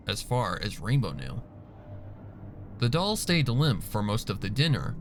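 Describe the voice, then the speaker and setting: A man's voice: mid-range voice, slight background noise. A man reading a story aloud as scripted, dramatic narration. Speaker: fan fiction narrator. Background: background music.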